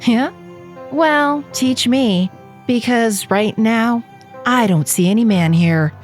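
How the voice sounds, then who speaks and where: Casting: female actor as a wife and mother, high-pitched voice, horror audio drama, soft music behind. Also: slow pace. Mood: scornful. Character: scornful, unimpressed wife and mother.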